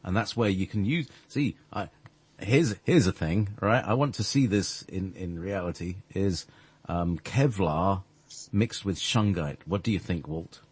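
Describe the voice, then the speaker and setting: Husky, low-voiced man rambling on air. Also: measured pace. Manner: hesitant, many restarts and fillers. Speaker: radio show guest. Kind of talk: rambling conversational chat.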